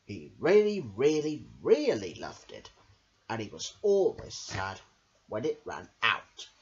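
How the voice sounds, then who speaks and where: A woman storyteller with a low voice that swings dramatically as she reads to children. She takes her time and speaks straight to her listeners, on a slightly dull recording. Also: takes it slowly. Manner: expressive, addresses young listeners directly. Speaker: storyteller and host. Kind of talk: animated children's storybook reading.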